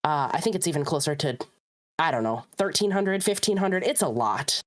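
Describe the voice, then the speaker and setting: A woman co-hosting a casual podcast, lower-pitched than most women. Her voice is slightly hoarse from being sick, but she stays chatty and upbeat. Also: expressive, normal speed. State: slightly rough, hoarse voice from recent illness. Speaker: podcast co-host. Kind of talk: casual chat.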